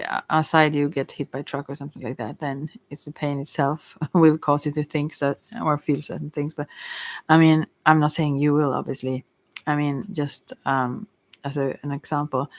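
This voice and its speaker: A tarot reader giving casual, gently encouraging spiritual advice in an ASMR livestream, rambling hesitantly and often trailing off mid-thought. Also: medium pitch, dry recording.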